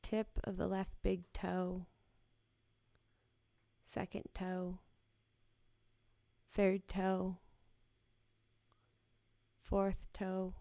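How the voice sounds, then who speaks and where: A woman guiding a yoga nidra body scan in a gentle, soothing, unhurried manner with short, spaced phrases. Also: medium pitch, completely flat delivery, gravelly, dry recording. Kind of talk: guided meditation, slow body scan.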